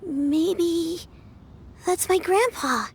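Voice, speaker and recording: A woman's voice: very high voice, extremely slow, heavily acted. A woman voicing an earnest, chatty little girl who adores her granny in a video game. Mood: wistful. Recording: music under the voice.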